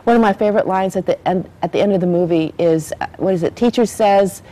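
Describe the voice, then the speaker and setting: A woman's voice: mid-range voice, quick pace, warm tone. A woman hosting a show, interviewing a guest in a conversational, promotional style.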